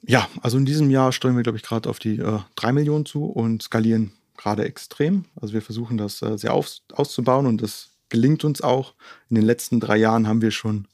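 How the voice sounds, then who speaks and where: A man's voice: mid-range voice, brisk, a little mellow, no room sound, studio quality. A man from Germany explaining things in detail as an interview guest, often checking in with a short tag question. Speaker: conservation organisation co-founder, interview guest.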